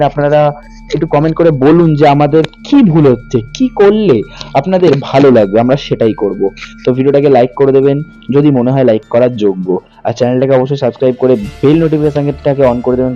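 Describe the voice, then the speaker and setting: A man's voice: mid-range voice, fairly quick, warm tone. A man narrating a horror story as a channel host. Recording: muffled lo-fi audio with music behind. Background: music bed.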